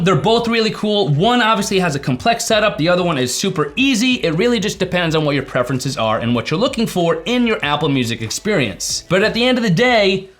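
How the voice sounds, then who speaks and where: A man with a fairly high voice and very lively intonation presents a casual tech explainer. He talks to viewers in an informal, colloquial way and stresses key words, with light music underneath. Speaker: tech reviewer and video host.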